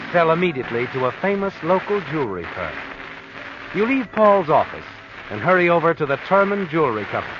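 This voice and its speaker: A man's voice: medium pitch, background noise, natural voice. Man acting sardonic old-time radio mystery narrator. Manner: taunting, deliberate, dramatic. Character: eerie, knowing, sardonic omniscient narrator of a crime tale. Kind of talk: 1940s radio mystery narration in second person. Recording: muffled vintage radio recording with music.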